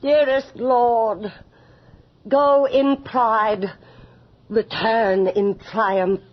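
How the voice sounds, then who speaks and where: Very slow, stately proud noblewoman. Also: a little high, steady tone. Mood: proud devotion. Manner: stately, deliberate, declamatory. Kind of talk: 1980s radio drama acting. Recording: muffled old radio drama.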